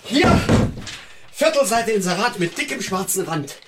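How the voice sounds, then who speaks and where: An actor playing a sly, cynical, seedy press photographer and hustler in a radio drama. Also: male voice, sits high, very animated, unhurried, very noisy. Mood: smug, gleeful.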